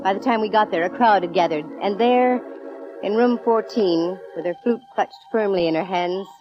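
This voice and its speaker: A sassy, quick-witted lady detective played by a woman in a 1940s radio mystery. She delivers slangy wisecracks with breezy confidence, over music on a dull old broadcast recording. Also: medium pitch, natural voice. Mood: sassy, determined. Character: wisecracking, quick-witted female private eye, confident and brisk. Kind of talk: 1940s radio detective drama acting, first-person narration.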